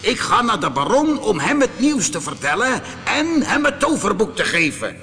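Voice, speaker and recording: Man as toad soldier captain, storybook villain's henchman, barking, bossy, high-pitched, animated. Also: normal speed, full character voice. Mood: commanding. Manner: barking orders. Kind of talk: storybook character voice.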